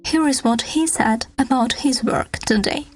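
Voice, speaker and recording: A woman's voice: high-pitched, unhurried, faint music behind. A woman reading scripted documentary narration as the narrator of a news podcast.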